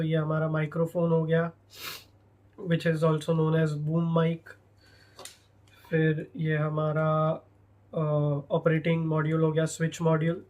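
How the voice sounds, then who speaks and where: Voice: mid-range voice, darkish tone. A product reviewer with an Indian accent narrates an unboxing casually, switching between Hindi and English. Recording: clear background noise, handling clicks.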